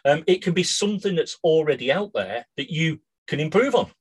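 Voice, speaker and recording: A man with a croaky voice, co-hosting a casual business podcast and often checking in with "yeah?". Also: fairly high pitch, expressive, brisk, poor audio. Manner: addresses listeners as guys, ends lines with "yeah?". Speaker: podcast co-host.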